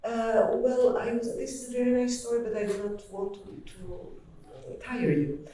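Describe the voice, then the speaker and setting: Albanian woman, dark voice, echoey hall. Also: a little high, slight background noise. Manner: reflective, storytelling, halting restarts. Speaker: author. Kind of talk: panel conversation. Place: hall at a literary event. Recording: echoey hall, far from mic.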